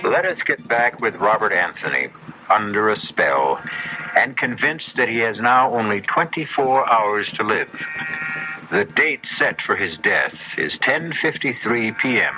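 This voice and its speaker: A man hosting and narrating a 1970s radio drama in a measured, suspenseful, scripted delivery. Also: lowish voice. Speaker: narrator and host. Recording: dull vintage radio broadcast.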